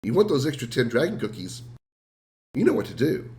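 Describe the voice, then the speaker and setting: A man streaming a game while sick, sounding rough and congested, rambling self-deprecatingly and answering his chat. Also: medium pitch, very animated, brisk, a little mellow. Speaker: game livestreamer. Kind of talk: casual livestream chat.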